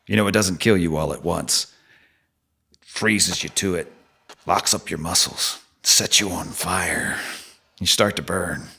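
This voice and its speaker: An actor playing a blunt, needling, foul-mouthed streetwise stranger who lives in the subway, in an audio drama. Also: male voice, sits low, measured pace, vocal fry, long pauses, pushed and bright, slightly rough, heavily acted. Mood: taunting, darkly frank. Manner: blunt, profane, probing.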